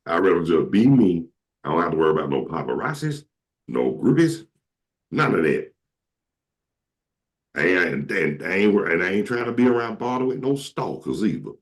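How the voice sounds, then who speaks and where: Male talk show host, deep and creaky, casual comic storyteller with a slangy, joking delivery. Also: moderate pace, long pauses. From southern United States. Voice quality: deep, carrying voice. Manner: joking, animated quoting of others, slangy.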